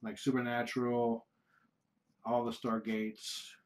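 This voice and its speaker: A middle-aged man from the United States answering viewer questions on a casual livestream, rambling and halting with frequent restarts. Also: mid-range voice, little pitch movement. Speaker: kitten foster livestreamer.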